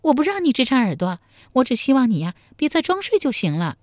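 A woman voicing a feisty young village woman, quick and scolding in a storybook reading. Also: sits high, sing-song, a little mellow, dry recording, clean studio sound, pitched above the performer's own voice. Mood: bossy.

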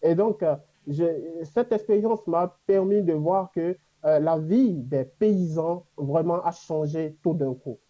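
A man from Africa whose first language is a Gbe language, answering interview questions reflectively with many pauses and fillers. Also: fairly high pitch, warm tone, highs cut off. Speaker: guest.

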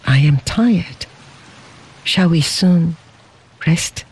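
A storyteller voicing a gentle, devoted young bride who speaks softly and tenderly. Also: mid-range voice, lively intonation, drawn-out words, husky, exaggerated voice. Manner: soft, cooing, tender. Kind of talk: storybook reading.

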